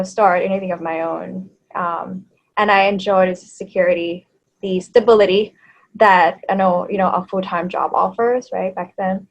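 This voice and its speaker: A woman in her thirties from Thailand, a native Thai speaker, talking as an interview guest in a hesitant way, with many fillers and soft laughs. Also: mid-range voice, poor audio. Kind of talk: casual interview chat.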